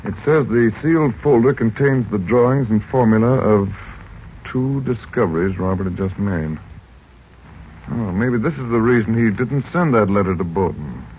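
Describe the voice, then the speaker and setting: An actor playing a grave, firm, middle-aged uncle who takes charge in a 1940s radio drama. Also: male voice, lowish voice, expressive, moderate pace, natural voice. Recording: dull, noisy 1940s radio recording.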